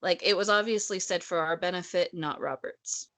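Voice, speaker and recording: Female co-host, lower register, opinionated and sarcastic, conversational book chat. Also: moderate pace, fairly bright tone. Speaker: podcast co-host discussing a novel. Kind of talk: casual opinionated book discussion.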